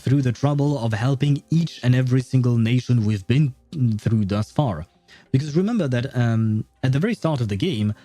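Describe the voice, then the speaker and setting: A man's voice: mid-range voice, warm tone, dry recording. A man from France, chatting casually and ramblingly with viewers as he streams a game. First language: French. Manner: rambling, chatty, answers chat as he goes. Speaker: game livestreamer. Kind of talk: casual chat with viewers while playing. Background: faint game music.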